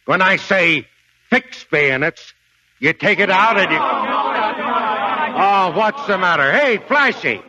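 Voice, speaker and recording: Gruff veteran Marine sergeant, American, folksy, forceful, very animated, 1940s radio drama, dull old recording. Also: male voice, high-pitched, slow, pauses often, exaggerated voice. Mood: barking, exasperated. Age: middle-aged. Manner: folksy, emphatic, blustering.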